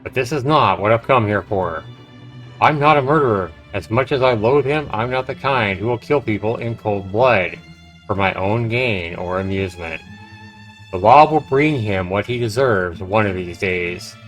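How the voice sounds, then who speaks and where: A man's voice: lowish voice, normal speed, a bit bright. A man livestreaming a game, reading its narration aloud dramatically with casual, profane asides. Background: faint game music.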